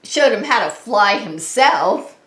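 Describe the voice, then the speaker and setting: A woman's voice: mid-range voice, sing-song, slow, fairly bright tone. A woman hosting story time as a preschool teacher, talking simply and expressively to small children with frequent questions. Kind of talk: children's read-aloud and singalong. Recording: roomy, echoey room sound.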